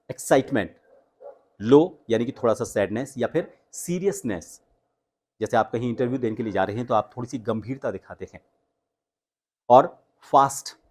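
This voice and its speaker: A man's voice: medium pitch, a little mellow. Male voice coach giving a tutorial. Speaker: voice coach and host. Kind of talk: instructional tutorial with spoken demonstrations.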